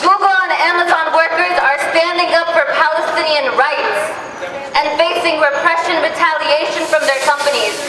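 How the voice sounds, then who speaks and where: A woman MC at an outdoor rally, loudly addressing the crowd and introducing speakers in a declamatory, rousing way. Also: very high voice, moderate pace. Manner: loud, declamatory. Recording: very echoey distant PA, noisy crowd.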